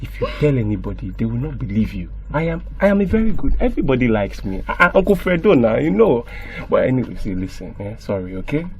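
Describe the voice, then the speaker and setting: A man's voice: medium pitch, very animated, fast, gravelly, hardly any pauses, dull audio. An actor playing a menacing uncle who threatens and coaxes a young girl in a radio drama sketch. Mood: coaxing. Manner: threatening, coaxing, wheedling. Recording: noisy recording with clicks.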